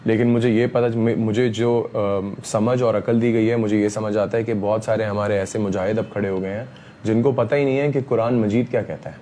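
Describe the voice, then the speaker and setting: A man, speaking emphatically as an interview guest, repeating himself and often pausing on fillers. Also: on the low side, fairly even intonation, mellow, a little hiss or hum. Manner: emphatic, repetitive, frequent fillers. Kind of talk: heated interview talk.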